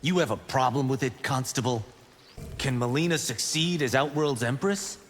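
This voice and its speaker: A man's voice: mid-range voice, moderate pace, big-room sound, a little hiss or hum, natural voice. A man playing a proud, eager young martial arts monk who boasts with self-assurance in video game banter. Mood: cocky.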